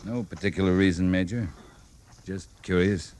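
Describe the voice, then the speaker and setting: Actor as a steady cavalry captain, noisy old radio. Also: male voice, deep voice, moderate pace, close to an everyday voice. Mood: grim, measured. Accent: American. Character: calm, authoritative male U.S. cavalry captain of the frontier. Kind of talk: classic old-time radio western acting. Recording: noisy old-time radio drama recording.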